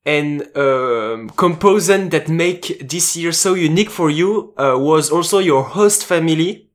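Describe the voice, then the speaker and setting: An interviewer puts questions to a guest in a halting way. Phrases stop and restart before they land. The pitch stays in the middle range. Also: expressive.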